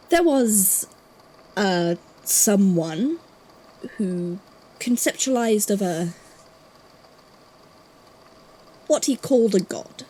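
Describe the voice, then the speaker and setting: A woman playing a guarded, thoughtful investigator in an audio drama, speaking haltingly. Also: medium pitch, dark tone, breathy edge, poor audio. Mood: careful, guarded. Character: guarded, puzzled investigator from a supernatural archive.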